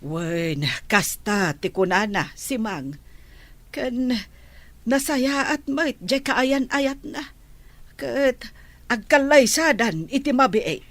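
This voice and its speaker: A woman's voice: a little high, animated, takes it slowly, no room sound. A woman playing a knowing village gossip in a radio drama, with an Ilocano accent.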